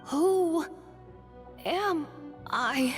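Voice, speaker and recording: A shy little dragon speaks in a high, childlike voice. The delivery is very slow, gentle and hesitant, game-style, with clear music behind. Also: female voice, animated, heavily acted. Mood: lost, bewildered. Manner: timid, hesitant. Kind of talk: game voice acting.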